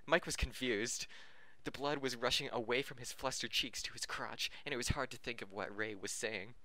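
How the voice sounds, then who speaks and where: A man's voice: medium pitch, fairly even intonation, normal speed, pushed and bright, no echo, poor audio. A man reading fan fiction aloud on a podcast, stumbling over words and correcting himself, often amused and breaking into laughter. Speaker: podcast reader.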